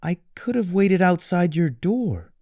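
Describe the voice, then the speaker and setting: A man's voice: sits high, very animated, a little slow, a little mellow, dry recording, studio quality, voice pushed up, full character voice. A man voicing a polite, impulsive and sheepish little girl in a children's storybook.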